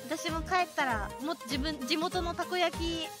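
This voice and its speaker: Very high-pitched woman streaming a game. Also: normal speed. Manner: excitable, talks to players and viewers, repeats phrases. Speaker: livestreamer. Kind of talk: video game commentary with casual chat. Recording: game music behind the voice. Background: baseball video game music.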